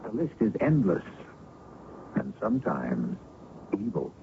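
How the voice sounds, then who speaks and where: An actor as an ominous, philosophical host, giving deliberate dramatic narration for a radio mystery. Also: male voice, fairly low pitch, wildly swooping pitch, vocal fry, full character voice. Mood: brooding, ominous. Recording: muffled, noisy old radio recording.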